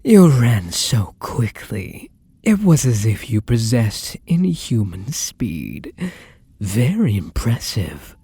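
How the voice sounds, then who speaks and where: A man playing a charming, bloodthirsty vampire lover in an intimate audio roleplay, with a breathy, husky voice that often drops to a whisper and courtly, theatrical endearments. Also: medium pitch, big pitch swings, slow, dark tone, no echo, exaggerated voice. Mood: taunting.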